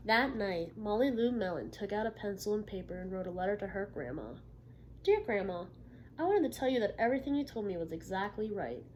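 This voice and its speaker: A woman reading a picture book aloud to children like a teacher, expressively, pausing to put encouraging questions to her listeners. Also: medium pitch, animated, slight background noise. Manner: encouraging, checks understanding with frequent questions. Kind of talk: interactive storybook read-aloud with questions to the listeners.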